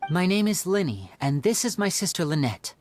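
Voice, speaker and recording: A performer voicing a charming, smooth young male magician in a video game, with a polite, persuasive manner. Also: high-pitched, very animated, moderate pace, heavy background noise. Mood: earnest.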